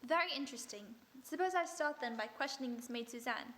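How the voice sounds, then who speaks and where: A woman playing a sharp-witted female detective in an audio drama, questioning people with dry, ironic politeness. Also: sits high, fast. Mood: probing. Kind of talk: vintage-style radio drama acting.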